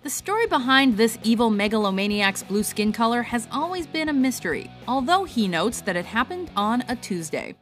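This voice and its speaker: Lively high-voiced female countdown narrator. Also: moderate pace, hardly any pauses. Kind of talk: scripted narration. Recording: music under the voice. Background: background music.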